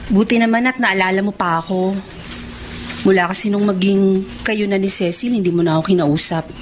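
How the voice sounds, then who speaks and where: A woman's voice: mid-range voice, fairly even intonation, brisk, natural voice. An actress playing a frank, slightly hurt young woman in a radio drama, with a pointed manner. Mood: reproachful. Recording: faintly noisy radio drama recording.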